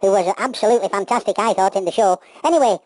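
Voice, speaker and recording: A woman's voice: medium pitch, quick pace, darkish tone, dry recording, lightly acted. A woman voicing an eager, affectionate young male bulldog superhero in a children's pantomime story. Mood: cheerful, grateful.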